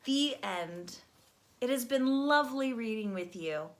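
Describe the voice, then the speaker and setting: A young adult woman, host of a storytime channel, reading a children's book aloud slowly and gently. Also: fairly high pitch, expressive. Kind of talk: children's read-aloud narration.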